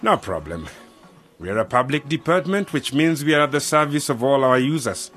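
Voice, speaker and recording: An actor playing a pompous, self-important government official in a radio drama. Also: male voice, medium pitch, lively intonation, normal speed, a bit bright, soft background music, natural voice. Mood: boastful.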